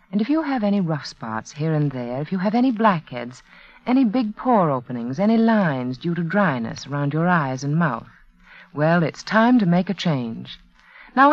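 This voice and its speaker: Low, animated woman pitching on vintage radio. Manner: instructive, emphatic. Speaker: beauty authority, sponsor spokeswoman. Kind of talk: 1940s radio advertisement, scripted. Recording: muffled old 1940s radio broadcast.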